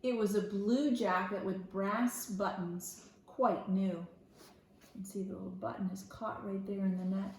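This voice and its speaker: A woman reads a picture book aloud for young children in an expressive storytelling style. Her phrasing is deliberate, with simple asides to explain the tale. The room rings with a clear echo around her voice. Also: mid-range voice, slight background noise. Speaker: school media specialist reading aloud to children. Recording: echoey room.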